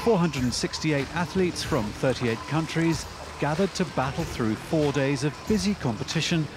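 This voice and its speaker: A man's voice: fairly high pitch, expressive, moderate pace. A man reading scripted narration for a sports documentary. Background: background music.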